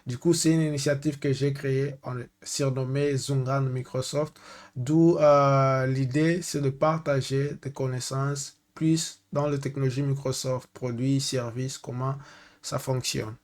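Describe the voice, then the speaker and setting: A man's voice: medium pitch, level tone, unhurried, darkish tone. Hesitant Angolan man teaching tech. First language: Portuguese. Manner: hesitant, searches for French words, many fillers. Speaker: data consultant. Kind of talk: screen-share tech tutorial.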